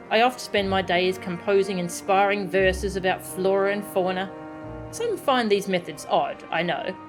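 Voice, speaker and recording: A woman's voice: medium pitch, lightly acted. A woman voicing a courteous, florid taxonomist from a video game, proud and formal in her wordy phrasing. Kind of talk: video game dialogue read aloud. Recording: game music behind the voice.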